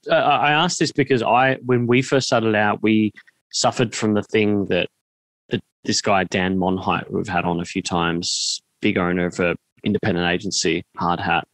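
A man's voice: on the low side, fairly bright tone, no echo. An Australian man in his thirties hosting a podcast, asking roundabout, hesitant questions in a casual conversational way into a close studio mic. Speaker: podcast host, interviewer. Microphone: close studio mic on boom arm, headphones.

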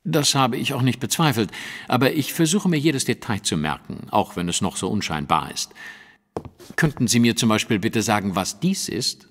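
A man playing a composed, observant Victorian gentleman detective in a German audio drama, polite, precise and probing. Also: medium pitch, lively intonation, normal speed, long reverb tail. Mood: calm, courteous.

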